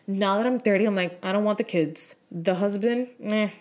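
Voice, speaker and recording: A woman's voice: medium pitch, measured pace, a little mellow, a little hiss or hum. A woman, hosting her own podcast in frank, profane, filler-heavy casual chat. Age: 30s. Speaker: podcast host.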